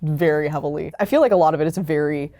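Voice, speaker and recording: Lower-pitched woman chatting enthusiastically in interview. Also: quick pace. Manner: animated retelling with acted-out quotes, frequent "like". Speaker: creator of an audio drama. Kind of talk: casual conversational interview.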